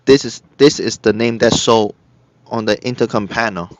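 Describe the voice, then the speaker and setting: A man with a fairly low voice gives step-by-step software instructions. He speaks in short, halting phrases. The recording is dull and lo-fi, with very little room sound. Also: no room sound. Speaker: software tutorial narrator. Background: screen-recorded tutorial voice-over.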